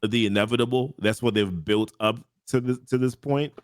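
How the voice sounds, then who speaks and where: A man's voice: medium pitch, normal speed, no echo. A man co-hosting a casual podcast, thinking aloud in a rambling way and often repeating himself, speaking close into a heavy dynamic microphone. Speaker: podcast co-host. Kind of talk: casual chat. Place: home studio, streaming live. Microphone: close dynamic mic.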